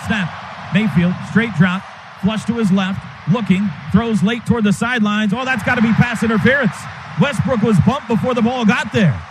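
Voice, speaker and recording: A man with a high voice calls a football game play by play from a stadium booth. His delivery comes in rapid, clipped bursts. The radio broadcast carries a noticeable hiss of stadium noise behind him. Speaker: play-by-play announcer.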